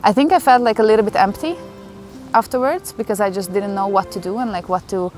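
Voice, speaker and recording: A woman's voice: medium pitch, fairly quick, darkish tone. Young woman telling her story. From Hungary. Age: young adult. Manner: earnest, emotional, chatty with fillers. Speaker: interviewee. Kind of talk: personal storytelling interview. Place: outdoors in a forest. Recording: clear music bed under the voice. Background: music.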